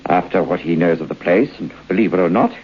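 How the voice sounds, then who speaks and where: An actor playing an anxious, stubborn priest in an old-time radio drama, with a husky, gravelly voice and a formal, moralizing manner. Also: male voice, low voice, animated, fast, exaggerated voice. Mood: uneasy, grumbling. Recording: muffled old radio broadcast.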